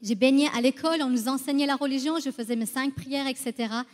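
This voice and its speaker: High-voiced Iranian-born woman in echoing hall. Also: fairly even intonation, fast. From Iran, moved to France at eleven. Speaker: guest giving testimony. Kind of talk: personal church testimony. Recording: reverberant hall, long echo.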